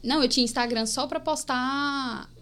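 A woman's voice: high-pitched, normal speed, a little hiss or hum. A young woman in her twenties from Paraíba with a mixed Northeastern Brazilian accent, telling stories as a podcast guest. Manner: lively storytelling, often addressing listeners as "gente". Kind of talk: casual podcast chat.

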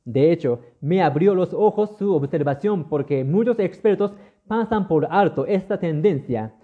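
A man's voice: sits high, lively intonation, normal speed, narrow, tinny audio. A man from Japan with a Japanese accent, hosting a scripted solo podcast in an earnest, lightly humorous teaching tone. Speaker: podcast host. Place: lives in Mexico.

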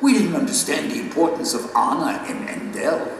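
Guy acting solemn kindly heavenly guide for audio drama, bit high voice, huge echoey hall. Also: expressive, close to an everyday voice. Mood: earnest gratitude. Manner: solemn, measured, formal. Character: gentle, reverent male guide in a heavenly kingdom. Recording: echoey great hall, distant, faint noise.

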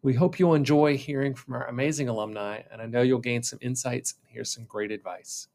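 A man's voice: medium pitch, fairly quick, creaky, darkish tone. A man hosting an interview podcast, chatting casually in a friendly way that often stumbles over repeated words. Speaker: podcast host, professor.

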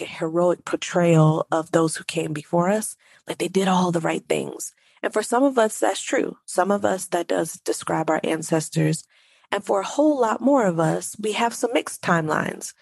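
A woman's voice: fairly low pitch, creaky, darkish tone, dry recording, studio quality. A woman hosting her own podcast who talks with a stuffy nose, chatting directly to her listeners in a solo, conversational monologue. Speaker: podcast host.

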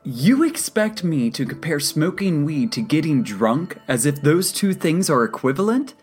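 An audiobook character acted out by a woman: a brash, defiant young man in a psychiatric ward. His delivery is indignant and emphatic, pushed loud and raised well above the narrating voice, with music underneath. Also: low voice, very animated, measured pace, voice pushed up. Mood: outraged, profane.

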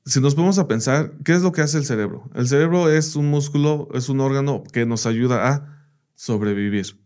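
A man's voice: medium pitch, moderate pace, darkish tone. A man whose first language is English, a teacher and podcast guest, telling anecdotes in a casual interview, often saying "bla, bla" and "¿me entiendes?".

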